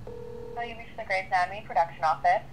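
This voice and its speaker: A woman's voice: mid-range voice, quick pace, a little hiss or hum. A woman reading a scripted office voicemail greeting, heard played over a phone.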